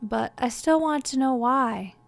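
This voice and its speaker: A woman's voice: high voice, unhurried, creaky, dry recording. An actress playing a skeptical, stubborn policewoman in an audio drama, blunt and questioning. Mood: angry. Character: guarded, sharp-tongued policewoman, skeptical and stubborn.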